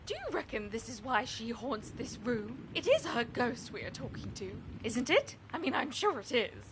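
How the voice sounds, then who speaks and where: A woman's voice: a little high, sing-song, normal speed, noisy background. A woman voicing a chatty, gossipy, teasing lady in a video game. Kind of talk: video game character acting.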